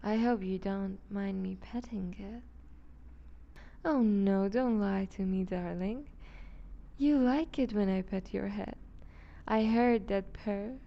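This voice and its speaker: A woman's voice: mid-range voice, slow pace, gravelly, long pauses, lo-fi sound. A woman playing a seductive, dominant vampire duchess in an intimate ASMR roleplay, teasing and condescending, often slipping toward a whisper. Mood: coaxing, seductive.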